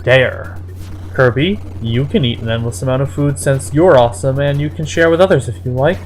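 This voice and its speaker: Someone doing a mocking dramatic read-aloud, exasperated asides, mid-pitched voice, bit of music behind. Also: normal speed. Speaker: host reading fan fiction aloud. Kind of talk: mocking dramatic reading with commentary.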